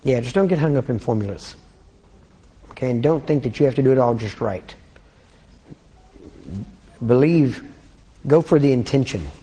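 Texan preacher, chatty and throat-clearing. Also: male voice, medium pitch, lively intonation, normal speed, pauses often, mellow. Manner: conversational, frequent "amen?" and "you understand?" check-ins. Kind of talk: informal sermon.

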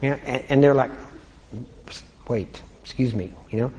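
A man with a Texan accent preaching an informal sermon, keeping it conversational with frequent checks like "amen?" and clearing his throat often. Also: medium pitch, a little slow, dark tone, dull audio.

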